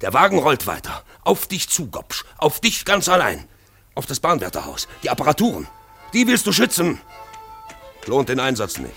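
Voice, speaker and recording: Stern commentator, mid-pitched, extremely varied intonation, clipped dramatic phrases, vintage radio play, faint music. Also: male voice, wildly swooping pitch, moderate pace, gravelly, bright, forceful tone, heavily acted. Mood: grim, urgent. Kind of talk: 1950s German radio drama acting. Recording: 1953 radio recording, faint music behind.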